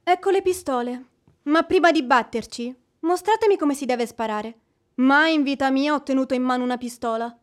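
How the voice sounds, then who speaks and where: An actress playing a proud, fiery widow in an Italian radio drama, speaking in a haughty, theatrical, indignant way. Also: female voice, sits high, moderate pace, close to an everyday voice. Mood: defiant.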